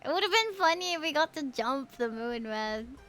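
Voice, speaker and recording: A woman livestreaming a game, chatting with viewers in an excitable, playful way full of cutesy exclamations. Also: sits high, moderate pace, faint music behind. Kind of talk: live game streaming commentary with chat.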